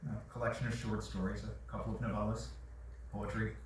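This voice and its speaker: A man's voice: lowish voice, flat delivery, a little fast, lightly acted. A man on stage playing a wry, earnest fiction writer who gets exclamatory and defensive, heard in a very echoey theatre from far off. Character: earnest, sarcastic male fiction writer, exasperated by bad dates. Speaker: actor in a staged reading. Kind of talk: theatrical stage monologue and dialogue. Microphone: far shaky audience camera. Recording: very echoey theatre, distant mic, faint noise.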